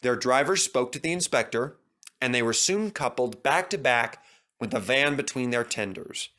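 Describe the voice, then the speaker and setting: A man's voice: mid-range voice, expressive, moderate pace, pushed and bright. A man reading a scripted children's story as its narrator.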